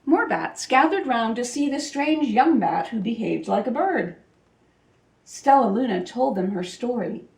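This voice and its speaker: A woman reads a children's story with careful expression. Her voice is high and the pace is slow, picked up from a slight distance in a roomy space. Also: expressive, vocal fry, a little mellow. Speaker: storybook reader. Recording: echoey room, distant microphone.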